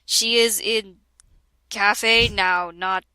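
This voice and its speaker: A woman's voice: mid-range voice, steady tone, extremely slow, bright, forceful tone, clear, resonant tone, no room sound. Giggly woman doing a comedic reading. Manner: giggly, keeps breaking into laughter and sarcastic asides. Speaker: reader of a parody fan fiction. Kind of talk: comedic dramatic reading with a friend.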